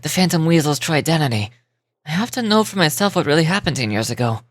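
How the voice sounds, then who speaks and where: A man's voice: a little high, sing-song, fast talker, fairly bright tone, no room sound, clean studio sound. A man voicing a polite young stage magician, composed and proud, with a courteous theatrical delivery. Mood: earnest, proud.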